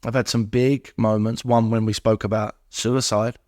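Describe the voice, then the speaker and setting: A man's voice: fairly low pitch, flat delivery, moderate pace, a little mellow, no echo, studio quality. A middle-aged man, speaking as a podcast guest and telling personal recovery stories, acting out what people said. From England.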